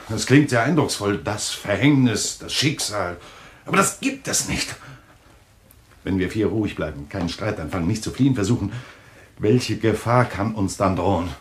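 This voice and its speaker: An actor playing a skeptical, controlling journalist in a German radio thriller, with a deliberate, sardonic, interrogating manner. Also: male voice, fairly low pitch, lightly acted. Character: skeptical, controlling male journalist, suspicious husband.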